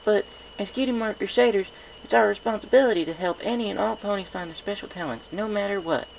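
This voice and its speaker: A man's voice: high voice, quick pace, creaky, a little hiss or hum, pitched above the performer's own voice, rough recording. A man voicing an eager, earnest young filly pony with a folksy, childlike way of talking in a read-aloud story.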